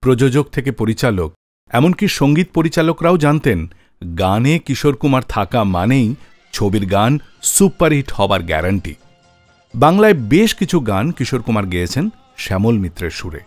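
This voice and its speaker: Animated male radio host, close and dry. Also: medium pitch, moderate pace, dry recording, soft background music. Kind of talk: scripted radio narration about film music.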